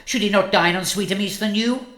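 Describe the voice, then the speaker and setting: A man's voice: sits high, fairly bright tone, echoey room. A man playing a pompous, obsequious and menacing lord of a royal council in a comedy audio drama, with a formal manner. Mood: scornful, menacing. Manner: formal, pompous, drawn-out delivery.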